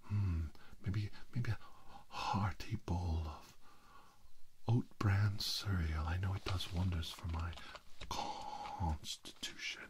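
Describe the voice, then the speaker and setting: A man playing an unhinged, brooding middle-aged scientist villain in a whispered ASMR roleplay, muttering haltingly and repeating himself. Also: deep voice, fairly even intonation, slight background noise. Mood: musing, fussy.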